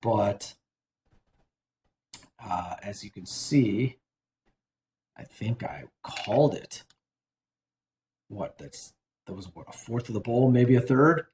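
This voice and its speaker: A man's voice: on the low side, animated, takes it slowly, long pauses, a little mellow, reverberant, slight background noise. A man hosting a casual cooking demonstration, chatty and rambling, often correcting himself as he seasons a dish. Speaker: cooking show host.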